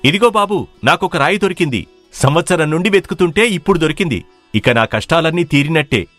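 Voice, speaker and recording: A humble, struggling villager from a folk-style moral tale, speaks with swooping, animated intonation. His voice sits a little high and sounds deferential. Music plays behind it. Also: fairly quick, dry recording. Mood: elated. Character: humble, hopeful male villager, long-struggling stone hunter.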